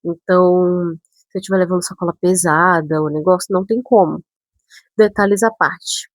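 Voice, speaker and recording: A woman in her twenties from São Paulo, chatting casually to camera as a vlogger. She tells a story in an animated way, full of asides and self-corrections, with touches of a northern Brazilian accent. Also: on the low side, moderate pace, no room sound. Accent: northern Brazilian touches mixed with São Paulo speech. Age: 20s.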